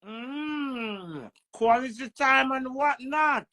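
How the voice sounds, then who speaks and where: A woman's voice: high voice, drawn-out words, bright, forceful tone. A woman with a Jamaican accent touched with British, chatting playfully and teasing on a live stream from home. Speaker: livestream host. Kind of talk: flirty casual livestream chat.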